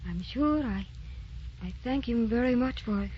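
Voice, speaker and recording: An actress playing a gentle, wistful woman who stays youthful, with a genteel, guarded manner, in a sentimental radio drama. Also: on the high side, lively intonation, normal speed, close to an everyday voice. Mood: gentle, regretful. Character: secretly ageless young-looking woman, gentle, wistful, guarded. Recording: muffled old broadcast with clear noise.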